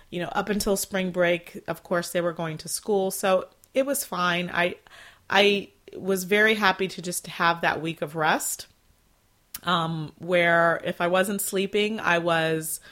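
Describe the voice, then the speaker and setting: Woman, forties, solo podcast host; relaxed, rambling chat, a bit low-pitched, voice still scratchy from a recent cold. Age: 40s. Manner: chatty, rambling, with fillers and soft laughs. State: slightly scratchy voice, clearing throat after illness.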